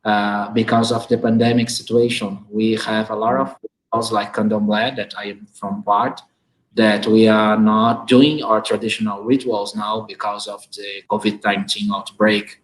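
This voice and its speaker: A man with a Brazilian accent hosts a conversation over a video call from his home. His voice sits on the low side, and his English comes out halting as he searches for words, with frequent laughs. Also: flat delivery, rough recording. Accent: Brazilian Portuguese. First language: Portuguese. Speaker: host. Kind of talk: online conversation, relaying audience comments.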